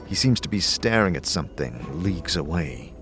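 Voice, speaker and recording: A man's voice: sits low, very animated, normal speed, a bit bright. A man narrating a scripted fantasy audio drama in the second person, as a game master would. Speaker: narrator and game master, also voicing the lead character. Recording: music under the voice.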